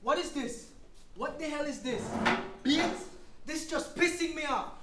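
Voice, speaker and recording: A man's voice: very high-pitched, measured pace. A man acting an angry, domineering, abusive husband with a Belizean accent in a dramatization, confrontational and demanding. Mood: angry, accusing. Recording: noisy, echoey room with clicks.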